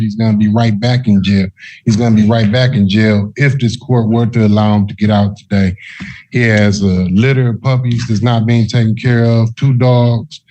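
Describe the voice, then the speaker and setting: A man's voice: mid-range voice, warm tone, slight background noise, poor audio. A man arguing in court as a defense attorney, deferential and repeating his phrases as he goes. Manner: deferential, repetitive. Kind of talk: courtroom argument.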